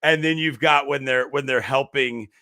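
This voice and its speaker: Gravelly, bright-voiced male podcast host. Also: mid-range voice, steady tone, normal speed, dry recording, studio quality. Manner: chatty and explanatory, many fillers and restarts. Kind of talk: casual chat about a horror movie.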